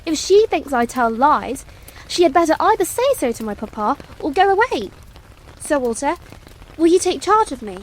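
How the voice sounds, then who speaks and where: A woman's voice: high-pitched, very animated, normal speed, slight background noise, pitched above the performer's own voice, low-quality recording. A woman voicing a self-possessed little princess in a storybook reading, precise and politely imperious. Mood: composed, indignant.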